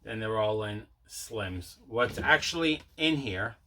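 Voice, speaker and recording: A man's voice: fairly low pitch, animated, takes it slowly, pushed and bright, a little hiss or hum. A man casually explaining items in an unscripted show-and-tell at home, matter-of-fact in manner. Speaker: host of collecting vlog. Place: indoors at home.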